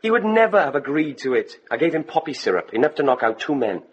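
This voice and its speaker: A man's voice: on the high side, sing-song, quick pace, husky, muffled recording. An actor in a radio drama playing a defiant, self-sacrificing young man, earnest and resolute as he speaks.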